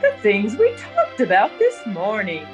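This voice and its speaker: An actress playing a snobbish, scolding older aunt in a prim, fretful manner, in 1930s radio drama style. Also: female voice, mid-range voice, huge pitch swings, a little slow. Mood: haughty, fussy. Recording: 1930s radio recording with music.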